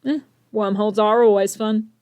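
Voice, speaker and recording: A young woman playing an eager, brainy teenage inventor hero in an audio drama, geeky and expressive. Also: mid-range voice, moderate pace, clean tone, dry recording, highs cut off, close to an everyday voice. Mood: curious, chatty.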